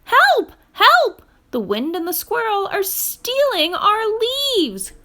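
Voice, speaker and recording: A woman's voice: very high-pitched, huge pitch swings, slow, pushed and bright, voice pushed up, exaggerated voice. A woman voicing an earnest, anxious little animal hero in a storybook, with a pleading, exclamatory way of talking. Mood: panicked.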